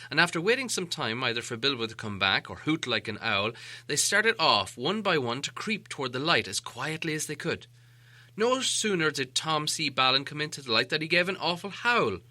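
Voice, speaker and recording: Animated, bright-voiced male storyteller. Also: a little high. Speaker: audiobook narrator.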